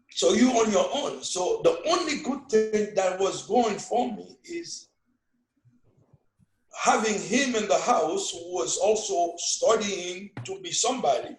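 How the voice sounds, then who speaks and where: Older Haitian man, strong accent, Creole native, lively anecdotes, roomy echo. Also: high-pitched. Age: 60s. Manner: animated storytelling, broken English phrasing. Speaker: guest, father. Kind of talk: casual family conversation.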